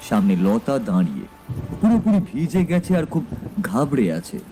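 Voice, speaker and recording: A man's voice: medium pitch, big pitch swings, normal speed, music in the background, rough recording. A man narrating a scripted dramatic story as its narrator.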